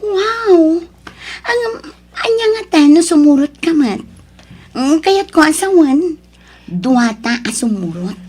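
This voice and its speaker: Radio drama lead: emotional young Ilocano woman played by an actress, very high voice, slow melodramatic delivery. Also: very animated, darkish tone, heavily acted. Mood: playful, sweet. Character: emotional young provincial woman, grieving, desperate, defiant.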